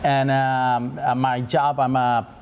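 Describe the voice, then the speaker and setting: A man's voice: medium pitch, flat delivery, slow, a little hiss or hum. A man, a lobbyist and Spanish speaker, who speaks hesitantly and searches for words. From Colombia.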